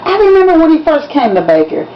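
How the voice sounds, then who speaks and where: Woman as a proud declamatory school principal, raised pitch. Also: big pitch swings, quick pace. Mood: proud, announcing. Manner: declamatory, formal announcing. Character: proud male school principal at graduation podium. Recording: dull lo-fi recording with background noise.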